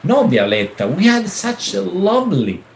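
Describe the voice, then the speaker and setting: A man playing a melodramatic philandering husband in a radio play, pleading and lamenting haltingly. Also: sits high, huge pitch swings, vocal fry, heavily acted. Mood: flustered, pleading. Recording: roomy, faint background noise.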